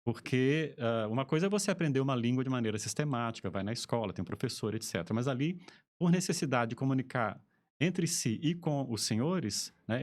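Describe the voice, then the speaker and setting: A Brazilian man with a Brazilian Portuguese accent, a linguist guest explaining his field in a conversational interview, tagging sentences with quick checks. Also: medium pitch, a little mellow. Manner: explanatory, lecturing, frequent "né?" tags.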